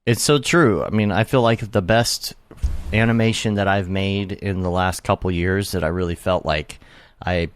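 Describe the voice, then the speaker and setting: A man's voice: low-pitched, normal speed, clear, resonant tone. A man hosting a podcast in casual chat, rambling and restarting his thoughts as he goes. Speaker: podcast host.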